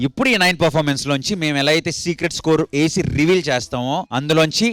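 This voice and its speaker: A man with a Telugu Indian accent speaking as a dance show judge, deliberate and mixing in English phrases. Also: on the high side, fairly bright tone, dry recording, faint music behind. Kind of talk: explaining competition rules on stage.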